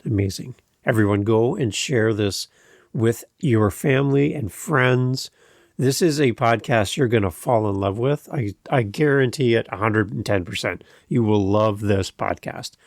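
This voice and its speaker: Male podcast host, gravelly creak, dry close sound, effusive and emphatic interview delivery. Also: on the low side, normal speed, no echo. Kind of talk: conversational interview hosting.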